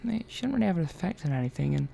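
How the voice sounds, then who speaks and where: Man playing a soft, creaky-voiced robot technician. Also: on the high side, sing-song, full character voice, poor audio. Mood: gently absorbed. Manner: unhurried, murmuring, thinking aloud with hesitant asides. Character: curious, gently chatty robot repair technician. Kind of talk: soft-spoken ASMR roleplay. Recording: faint background noise.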